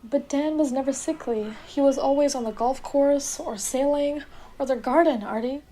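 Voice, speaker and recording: A teenage girl playing a gentle, loyal and concerned wife in a radio drama. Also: sits high, normal speed, slight background noise, lightly acted. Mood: saddened. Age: teens, high school student.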